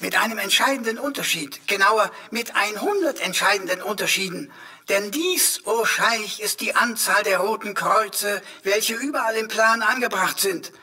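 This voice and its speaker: An actor playing a chatty, vain little pocket computer in a sci-fi radio drama, raspy and given to flowery, boastful, mock-servile speech. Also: male voice, high voice, normal speed, bright, forceful tone, heavily acted.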